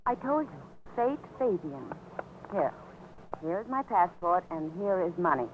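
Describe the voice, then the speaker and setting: A woman's voice: lowish voice, sing-song, unhurried. Secretive, unruffled female stowaway, teasing and alluring, 1940s radio style, dull vintage sound with faint hiss. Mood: cool, teasing. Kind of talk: 1940s radio femme fatale acting. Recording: muffled, lightly noisy 1940s radio.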